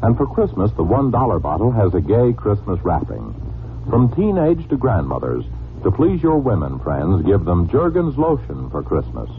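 Animated male 1940s radio announcer, tinny sound. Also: fairly low pitch, moderate pace. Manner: polished, salesmanlike.

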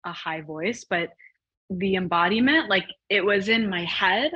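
A woman speaking as a guest on a podcast, chatting in an expressive, animated way with a breathy voice. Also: mid-range voice, a little slow, highs cut off, a little hiss or hum. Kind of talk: casual interview chat.

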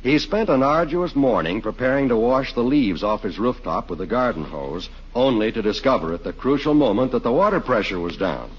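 A man works as an announcer in emphatic 1940s radio style. His husky voice moves up and down with a salesman's push, on a muffled old recording. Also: medium pitch, expressive, brisk, hardly any pauses. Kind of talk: 1940s radio advertising and scripted narration.

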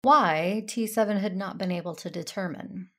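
An actress playing a methodical, self-assured Jedi operative, narrating in first person in a matter-of-fact, controlled way with some creak. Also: female voice, mid-range voice, moderate pace, sharp tone, natural voice. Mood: controlled, resolute.

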